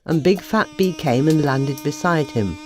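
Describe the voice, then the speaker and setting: A woman narrating a scripted children's bedtime story in an expressive storytelling manner. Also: low voice, measured pace, soft background music.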